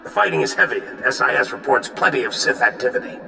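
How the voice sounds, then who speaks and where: An actor playing a gruff veteran general in a video game, with a gravelly voice and a brisk, commanding manner. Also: male voice, medium pitch, animated, pushed and bright, full character voice. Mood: assured. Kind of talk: video game cutscene dialogue. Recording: echoey, distant, music behind.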